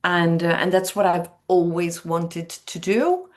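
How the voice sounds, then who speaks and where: A woman in her fifties with a Dutch accent answers interview questions in a reflective, conversational way. Her voice sits a little low and her pace is very slow, with hesitant pauses between phrases. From Netherlands. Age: 50s. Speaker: interview guest, training coach.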